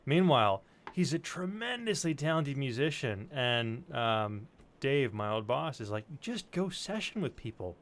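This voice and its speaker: Mid-pitched man telling casual anecdotes. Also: sing-song, unhurried, fairly bright tone.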